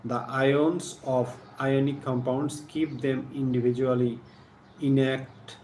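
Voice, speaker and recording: A man with a Bangladeshi accent giving a live chemistry lesson, partly reading aloud in a halting, deliberate manner, repeating phrases. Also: medium pitch, little pitch movement, a little mellow. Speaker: science teacher hosting a live stream. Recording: echoey room with faint background noise.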